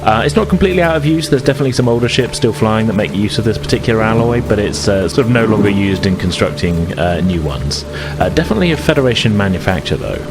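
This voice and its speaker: A man's voice: fairly low pitch, expressive, fast, few pauses. A man narrating a tabletop roleplaying game as game master, conversational and a little hesitant as he improvises. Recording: loud music and rain ambience behind. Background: music, rain sound effects.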